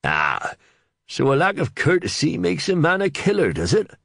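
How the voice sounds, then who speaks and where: A man's voice: fairly low pitch, very animated, moderate pace, vocal fry, a bit bright, no echo, clean studio sound, voice pushed up, exaggerated voice. A man voicing a shrewd, blunt medieval sergeant with plain, rustic speech and a scathing edge. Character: shrewd blunt middle-aged male sergeant. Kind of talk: audiobook character voice.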